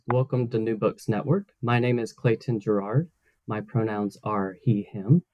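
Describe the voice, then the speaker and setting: A man's voice: fairly low pitch, a little mellow, dry recording, highs cut off. A man hosting a book interview podcast, reading a formal scripted introduction in a polite manner. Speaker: podcast host.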